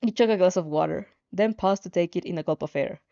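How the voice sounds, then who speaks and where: A woman's voice: lowish voice, fast, creaky, mellow, dull audio. A woman narrating fan fiction expressively, as an amateur storyteller.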